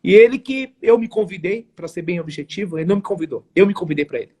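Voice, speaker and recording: Earnest paulistano man, husky and high. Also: lively intonation, fast talker, a little mellow. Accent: São Paulo Portuguese. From São Paulo, Brazil. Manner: earnest and emphatic, frequent restarts and formal phrasing. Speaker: guest, network marketing entrepreneur. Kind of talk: live interview storytelling.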